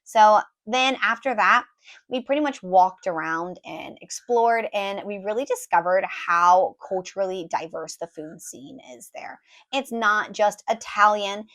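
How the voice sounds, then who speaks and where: Hoarse chatty woman vlogger. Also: medium pitch, fairly bright tone. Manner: chatty, many ums and likes, restarts. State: hoarse, voice recovering. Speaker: travel vlogger, mother of four. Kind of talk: casual travel recap to camera.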